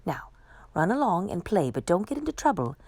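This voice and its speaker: A woman's voice: on the low side, sing-song, a little fast, gravelly, darkish tone, dry recording, heavily acted. Woman reading as firm, kindly storybook man.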